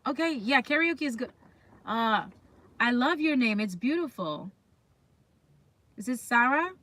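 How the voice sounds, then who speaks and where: A woman's voice: fairly high pitch, animated, a little slow, sharp tone. A woman chatting casually as a livestream host, talking straight to viewers and often putting questions to them. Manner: chatty, reads comments aloud and puts questions to viewers. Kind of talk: casual live chat.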